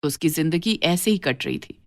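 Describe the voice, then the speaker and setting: A woman's voice: low-pitched, normal speed, slight background noise. A woman narrating a scripted moral story for children as a storyteller.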